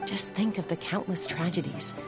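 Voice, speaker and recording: Woman as a low-voiced, level-headed warrior woman. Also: over music, close to an everyday voice. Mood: earnest. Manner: measured, serious, reasoning. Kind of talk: anime video game voice acting.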